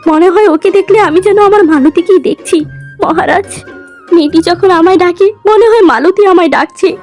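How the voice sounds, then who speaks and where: A woman's voice: very high voice, a little mellow. A woman voicing a sorrowful, tender queen and mother in a melodramatic fairy-tale cartoon. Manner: mournful, tender. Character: sorrowful, tender village queen longing for her lost daughter. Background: music.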